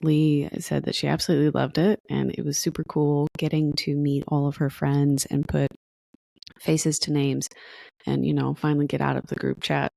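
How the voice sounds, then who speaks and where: A tired, hoarse woman hosting her podcast, chatting casually and rambling with fillers and restarts. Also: sits low, flat delivery, moderate pace, mellow, dry recording. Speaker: podcast host. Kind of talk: casual solo podcast chat.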